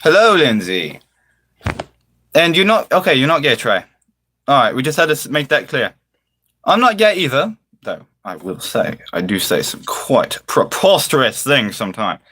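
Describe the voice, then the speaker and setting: A man hosts a casual livestream in a mid-pitched, highly animated voice. He affects a British-style accent and chooses flowery, mock-formal words. His delivery is theatrical, rising and falling widely as he addresses his viewers. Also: normal speed.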